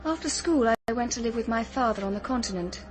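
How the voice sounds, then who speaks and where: A woman's voice: a little high, animated, brisk, faint music behind, natural voice. Actress as an earnest young English woman detective. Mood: quiet, reflective. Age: young adult. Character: earnest young female private detective, polite but determined. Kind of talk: British radio drama acting.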